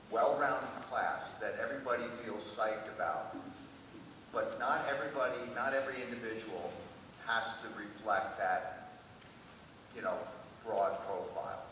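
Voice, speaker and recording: A man's voice: medium pitch, unhurried. A man speaking informally as an admissions officer in a panel discussion, in a conversational, anecdotal way. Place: large room at a public panel event. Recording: echoey hall from far off, noisy.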